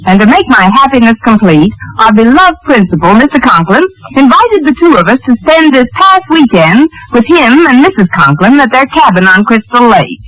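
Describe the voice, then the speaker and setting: An actress playing a sardonic, quick-witted English teacher, narrating and acting in a 1940s radio comedy. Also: female voice, a little high, sing-song, a bit bright, poor audio. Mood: wry. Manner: dry, sardonic, wisecracking. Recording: vintage 1940s radio recording.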